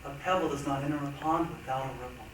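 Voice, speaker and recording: A man's voice: medium pitch, quick pace, lightly acted. A man performing a reader's theatre monologue as a reflective, earnest man recounting his memories. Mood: solemn. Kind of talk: staged dramatic monologue reading. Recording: very echoey, noisy hall, distant mic.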